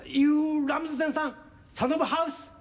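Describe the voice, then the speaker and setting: A man's voice: very high-pitched, fairly even intonation, measured pace. A man playing a polite, formal businessman lodger who speaks broken English in clipped phrases. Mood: dismayed. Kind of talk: sitcom acting.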